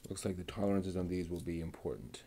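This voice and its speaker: A man giving casual running commentary while he builds a model kit, talking slowly and methodically and half to himself. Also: low voice, creaky, mellow, slight background noise. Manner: muttering to himself, slow and methodical.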